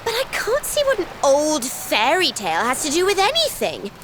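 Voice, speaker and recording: A woman's voice: sits high, huge pitch swings, moderate pace, noisy background. A woman voicing a bossy, impatient little girl in a video game, blunt and haughty. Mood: scolding. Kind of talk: scripted video game voice acting. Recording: loud game music behind the voice. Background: loud music.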